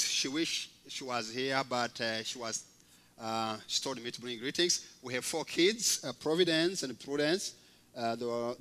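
A man in his fifties from Rwanda, a guest preacher giving a testimony sermon in a halting way, with frequent fillers and gentle humor. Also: mid-range voice.

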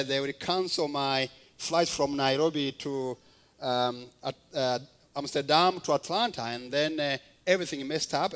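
A Rwandan man in his fifties preaches a personal testimony to a church. His voice sits a little high for a man, and he speaks haltingly, pausing on fillers and slipping in gentle jokes. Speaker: guest preacher. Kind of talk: sermon testimony.

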